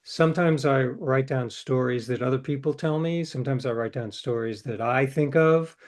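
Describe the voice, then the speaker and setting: A man's voice: medium pitch, moderate pace. Middle-aged man, reflective storyteller, loose restarts, interview guest. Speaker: guest, novelist and podcaster. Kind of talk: relaxed interview conversation.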